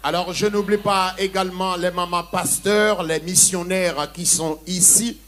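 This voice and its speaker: A man's voice: sits high, steady tone, measured pace, a bit bright. A middle-aged man with a Togolese accent, an evangelist giving solemn testimony to a crusade in short, declarative phrases. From Togo. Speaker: evangelist preacher.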